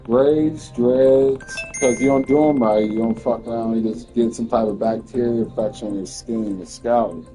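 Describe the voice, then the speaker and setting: A young man talks loosely over a video game, swearing and rambling as he plays. His voice is dark and mellow, with faint music underneath. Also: mid-range voice, steady tone, moderate pace, hardly any pauses, low-quality recording. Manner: laid-back, swears casually, rambling. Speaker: gamer playing on stream. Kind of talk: casual chat while gaming.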